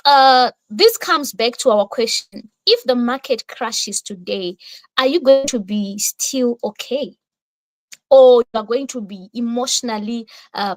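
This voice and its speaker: A woman's voice: on the high side, dry recording, poor audio. A woman giving a motivational talk on investing, emphatic and repetitive, often asking rhetorical questions. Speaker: guest speaker.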